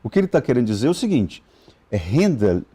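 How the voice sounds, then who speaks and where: A man's voice: mid-range voice, very animated, quick pace, dark tone, slightly rough. A male TV host interviewing a guest in a studio, talking with many fillers and restarts. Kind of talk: live TV interview.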